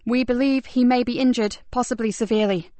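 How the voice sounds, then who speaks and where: A woman reading a forceful female detective inspector in an audiobook, speaking loudly and urgently. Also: fairly high pitch, steady tone, a little fast, no room sound, pitched above the performer's own voice, lightly acted. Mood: brisk. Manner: sharp and urgent.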